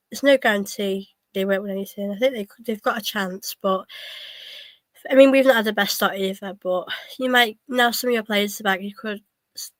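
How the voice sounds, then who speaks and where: A woman's voice: mid-range voice, fairly bright tone, no room sound. A young woman with a cold hosting a fan watchalong, talking through the match casually with lots of ums and restarts. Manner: hesitant, many ums and restarts, chatty. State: has a cold, coughing. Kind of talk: casual live match commentary.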